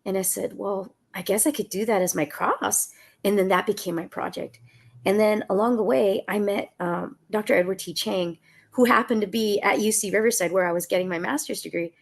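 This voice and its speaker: A woman tells stories from her own life in an easy, conversational way, laughing often. She sounds a little congested from a cold. Her voice has a gravelly, creaky texture. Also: mid-range voice, a little fast. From Southern California. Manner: laughs often, warm and storytelling. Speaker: author guest. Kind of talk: conversational book talk.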